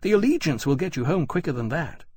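A man's voice: fairly high pitch, very animated, fast, darkish tone, breathy edge, no room sound, pitched above the performer's own voice. A man voicing a blunt, dismissive and sardonic British sea captain in an audiobook. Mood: scoffing.

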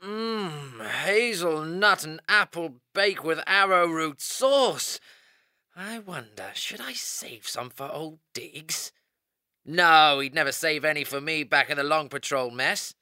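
A man's voice: on the high side, unhurried, few pauses, sharp tone, clear, resonant tone, no echo, studio quality, voice pushed up. A man voicing a cheeky, jovial young male hare soldier. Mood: teasing. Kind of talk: audiobook character voice.

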